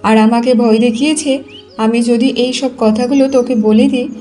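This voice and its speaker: Lady doing a meek kind old mom in a cartoon, pleading, high voice, music behind. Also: steady tone, brisk, dark tone, natural voice. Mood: plaintive. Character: meek, kindly, long-suffering older mother.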